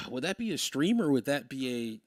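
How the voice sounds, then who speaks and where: A man in his twenties from Maryland, chatting casually on a stream, rambling and thinking out loud with frequent laughs. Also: mid-range voice, big pitch swings, normal speed, no echo, studio quality. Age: 20s. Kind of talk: casual chat on a game stream.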